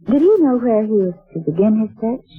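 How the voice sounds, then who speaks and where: A fond, romantic wife who speaks with dreamy affection. It comes from an old radio drama, thin and tinny, with music clearly audible behind her. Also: fairly high pitch, big pitch swings, a little fast, exaggerated voice. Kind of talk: 1940s radio drama acting.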